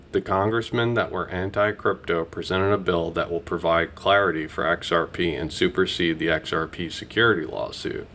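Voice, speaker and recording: A man's voice: sits low, fairly bright tone, slight background noise. A man giving scripted news commentary as a video host.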